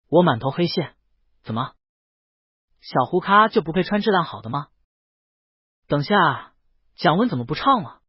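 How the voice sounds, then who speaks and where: A man's voice: on the high side, breathy edge, dry recording, lo-fi sound. A man narrating a scripted first-person story as an audiobook.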